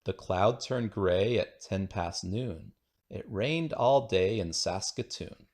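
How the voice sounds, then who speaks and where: A man's voice: on the low side, expressive, slow, a little mellow. A man reading children's rhyming poems aloud in a playful, singsong, rhythmic way. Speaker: children's book reader.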